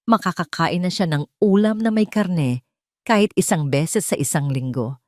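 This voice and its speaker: A woman reading a romantic drama as a storyteller, in a measured and heartfelt way, close to the mic. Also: lowish voice, a little mellow, no room sound. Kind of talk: scripted drama narration.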